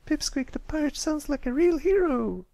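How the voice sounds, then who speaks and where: A man's voice: very high voice, lively intonation, normal speed, vocal fry, dark tone, no echo, pitched above the performer's own voice, exaggerated voice. A man voicing a sweet, happy little filly pony in an enthusiastic manner, for a storybook reading.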